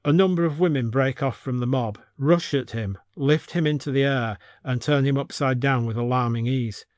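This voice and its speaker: A man's voice: medium pitch, normal speed, a little mellow, no room sound, clean studio sound. A man reading scripted narration for an audio story as the storyteller.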